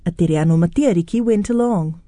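A woman presenting a news intro in a crisp, brisk read. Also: lowish voice.

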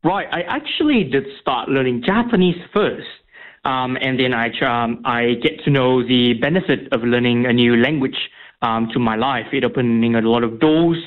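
Young man, first language Vietnamese, phone-line guest, hesitant, lots of fillers. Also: medium pitch. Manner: halting, many fillers and restarts. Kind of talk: radio interview.

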